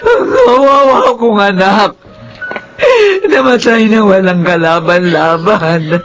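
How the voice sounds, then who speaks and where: A mournful, bitter older mother in a radio melodrama. Her voice is gravelly and swings in pitch as she wails over clear background music. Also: animated, takes it slowly. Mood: grieving. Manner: wailing, mournful. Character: grieving, angry elderly mother of slain son. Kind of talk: melodramatic radio drama acting.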